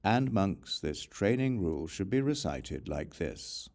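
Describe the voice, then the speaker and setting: A man reading scripture as an audiobook narrator, in a measured, formal recitation. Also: deep voice, big pitch swings, darkish tone. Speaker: audiobook reader.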